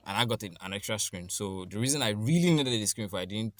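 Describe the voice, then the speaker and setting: A man's voice: lowish voice, lively intonation, fast, a bit bright, no room sound. A man co-hosting a podcast in casual chat, rambling and restarting his thoughts as he thinks aloud. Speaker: podcast co-host.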